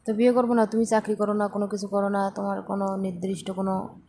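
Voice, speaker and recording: A woman's voice: mid-range voice, level tone, quick pace, warm tone, slight background noise. A young woman chats casually and bluntly, with a teasing manner, on a phone call recording. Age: young adult.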